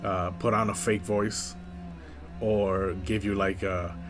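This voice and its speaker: A young man with a slight lisp, chatting casually as a first-time streamer in a flat, monotone way with many fillers. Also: fairly low pitch, slow pace, music in the background. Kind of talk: casual chat.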